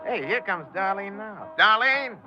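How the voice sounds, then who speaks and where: Streetwise chiseler, animated and mocking, higher register, muffled old radio crime show. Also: male voice, unhurried, over music. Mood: breezy hustling. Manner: wisecracking, streetwise, needling. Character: slick, mocking small-time crook and chiseler. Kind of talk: 1950s radio drama acting. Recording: muffled 1950s radio recording.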